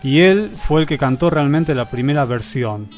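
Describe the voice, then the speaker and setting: A man's voice: a little high, expressive, moderate pace, a little mellow. Man explaining music on radio. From Argentina. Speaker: record archivist guest. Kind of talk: conversational radio interview about music. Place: radio programme.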